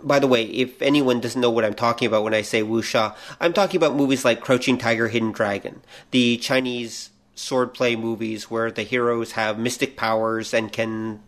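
A man's voice: medium pitch, steady tone, moderate pace, few pauses, a bit bright. A man co-hosting a podcast, talking casually about pop culture in an explanatory, analytical way. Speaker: podcast co-host.

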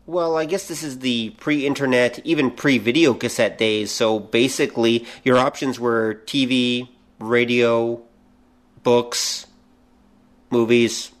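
Middle-aged man, medium pitch, podcast co-host, casual conversation, measured and reflective. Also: steady tone.

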